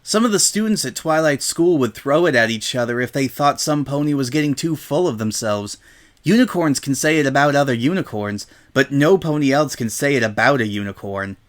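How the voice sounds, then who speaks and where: A man's voice: medium pitch, lively intonation, fairly quick, natural voice. Man voicing a matter-of-fact little boy dragon. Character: small young male dragon, matter-of-fact.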